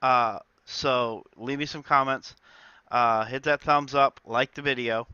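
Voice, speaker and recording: A man's voice: medium pitch, steady tone, unhurried, pushed and bright, smooth, no room sound, muffled recording. A man with a slight cold, chatting casually through his game developer diary with lots of filler words. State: stuffed up with a cold. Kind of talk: casual dev diary talk.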